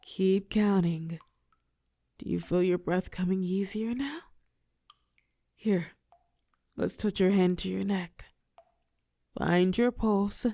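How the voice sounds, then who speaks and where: A woman playing a telepathic villain and former hero in a roleplay audio drama, protective and sharp-tongued, with a breathy voice that often drops to a whisper. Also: medium pitch, fairly even intonation, no room sound. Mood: tender, soothing. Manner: slow, commanding yet tender coaching.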